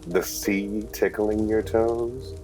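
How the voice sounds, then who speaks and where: Middle-aged male for audio drama father: deep, measured, protective husband type. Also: expressive, over music, rough recording. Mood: tender, teasing.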